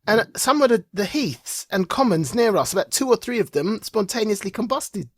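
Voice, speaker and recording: Husky, animated, high-voiced man. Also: normal speed, no room sound, studio quality. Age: middle-aged. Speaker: podcast co-host. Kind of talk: casual banter.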